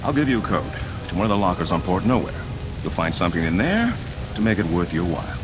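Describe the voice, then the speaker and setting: An actor playing a cornered double agent in a video game, a smug and scheming bargainer. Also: male voice, sits low, very animated, quick pace. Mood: slick bargaining. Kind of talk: video game cutscene acting. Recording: loud music behind the voice.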